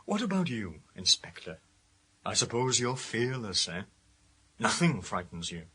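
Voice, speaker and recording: A menacing, unrepentant killer from a radio thriller. The voice sits very low and is rough and husky, with a sharp, forceful edge. He taunts coldly and defiantly. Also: big pitch swings, measured pace, full character voice, poor audio. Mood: cold, taunting. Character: menacing, unrepentant male killer, a prison officer. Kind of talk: radio drama acting.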